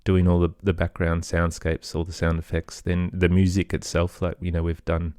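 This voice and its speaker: Deep, even-toned Australian man in interview. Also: normal speed, clean tone, no room sound, studio quality. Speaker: interview guest, children's podcast creator. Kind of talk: casual interview chat.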